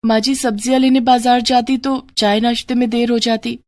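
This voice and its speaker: A woman's voice: a little high, flat delivery, normal speed, darkish tone, no echo, studio quality, voice pushed up, lightly acted. A woman voicing a young newlywed daughter-in-law in a moral-story cartoon, polite, hurt and pleading. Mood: meek, defensive.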